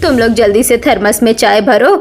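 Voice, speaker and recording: A woman playing a caring older mother-in-law in an animated family story, earnest and motherly, anxious yet determined. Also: a little high, brisk, soft background music, close to an everyday voice. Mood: concerned, determined.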